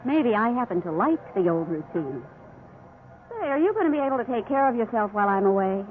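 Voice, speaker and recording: A woman's voice: medium pitch, expressive, brisk, lightly acted. Casting: female actor for middle-aged American wife, doting and fussy, fifties radio drama, narrow vintage sound. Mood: fond, fussing. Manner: affectionate, mildly nagging. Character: fond, fussing middle-aged wife, gently teasing. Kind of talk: 1950s radio drama acting. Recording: band-limited 1950s radio recording with hiss.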